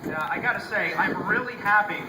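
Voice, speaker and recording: High-pitched American man speaking at noisy rally. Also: a little fast. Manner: loud-voiced, conversational with hesitations at first. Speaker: state representative giving a speech. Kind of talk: public speech at an outdoor rally, from prepared remarks. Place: outdoors at a rainy-day rally. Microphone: public-address microphone, recorded from a distance. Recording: echoey outdoor PA, distant, heavy noise.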